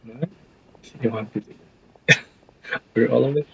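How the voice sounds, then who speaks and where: A man's voice: medium pitch, steady tone, measured pace, creaky, slightly rough, narrow, tinny audio. A man giving casual live commentary as he streams a game.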